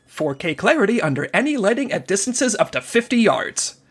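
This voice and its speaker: A prim, fussy agent who speaks with precise, orderly diction. The voice rises and falls with lively swings and has a breathy edge. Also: male voice, high-pitched, brisk, exaggerated voice. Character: fussy man, prim bureaucratic agent who loves office supplies. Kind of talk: comedy audio drama.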